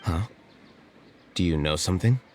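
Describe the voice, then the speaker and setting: An actor playing a calm, aloof male scholar in an anime-style video game, measured, matter-of-fact and detached. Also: sits low, a little hiss or hum, lightly acted. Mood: curt. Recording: music behind the voice.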